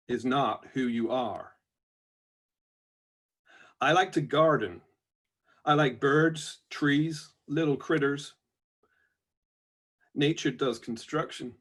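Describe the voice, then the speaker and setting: A man voicing a reflective, wryly stoic robot labourer from a book, slowly and deliberately, with an American-style twang. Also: medium pitch, fairly bright tone, husky. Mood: homespun, plain. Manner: slow, deliberate, pausing delivery. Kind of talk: book reading of aphoristic notebook entries.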